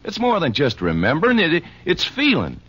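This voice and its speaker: An animated, husky, earnest nephew. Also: male voice, fairly high pitch, brisk, full character voice. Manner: earnest, slangy. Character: cheerful, pleading working-stiff nephew, Christmas-loving. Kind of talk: 1940s radio acting. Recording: muffled 1940s radio recording.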